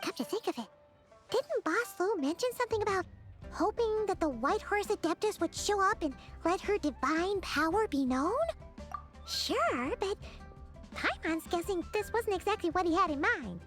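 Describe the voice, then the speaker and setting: Squeaky, excitable little girl companion. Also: moderate pace. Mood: surprised, chatty. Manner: exclamatory, animated. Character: small, excitable, high-voiced girl companion, chatty and cheeky. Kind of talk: video game voice acting. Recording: music playing behind the voice.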